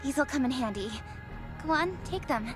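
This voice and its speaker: A woman's voice: high-pitched, lively intonation, normal speed, over music. A woman voicing a caring female shelter resident who urges caution.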